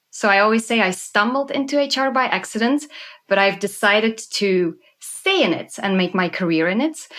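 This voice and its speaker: A Belgian woman in her thirties, a podcast guest who tells her story with lively enthusiasm. Her voice is bright and clear, sitting at an ordinary middle pitch. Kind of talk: conversational interview.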